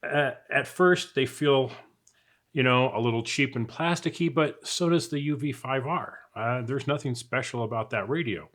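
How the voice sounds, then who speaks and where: A man's voice: mid-range voice, moderate pace, a bit bright. A man giving a casual, unscripted product review in a chatty, conversational way, as if thinking aloud. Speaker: product reviewer, channel host.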